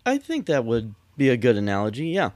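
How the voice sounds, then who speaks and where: A man with a nasal voice, hoarse and congested from a cold, co-hosting a casual podcast with brief, easygoing replies. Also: mid-range voice, huge pitch swings, a little fast, a little mellow, no echo. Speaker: podcast co-host.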